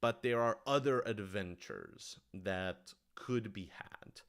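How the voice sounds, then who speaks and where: Man, lowish pitch, smooth clean tone, informal livestream Q&A, earnest, enthusiastic, discursive. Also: slow pace, fairly bright tone. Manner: earnest, enthusiastic, rambling asides. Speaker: writer-director answering audience questions. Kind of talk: casual livestream Q&A.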